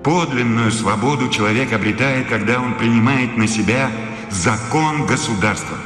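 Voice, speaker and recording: An actor plays an authoritarian university rector in a radio drama. He declaims sternly and formally in a mid-pitched male voice, with long hall reverb and music clearly underneath. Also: normal speed, close to an everyday voice. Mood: fervent, solemn. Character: stern, authoritarian German philosophy professor and rector. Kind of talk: radio play acting.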